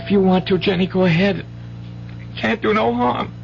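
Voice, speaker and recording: A man's voice: high-pitched, normal speed, vocal fry. A man playing an anxious, resentful teenage son in a 1970s radio drama, hesitant and stammering. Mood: scared. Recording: dull vintage radio broadcast, loud music.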